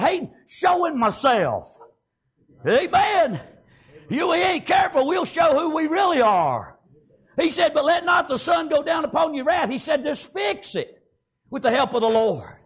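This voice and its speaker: A man with a Southern American accent preaching a fervent revivalist sermon in a folksy way, peppered with exclamations of praise. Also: very high-pitched. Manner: folksy, colloquial.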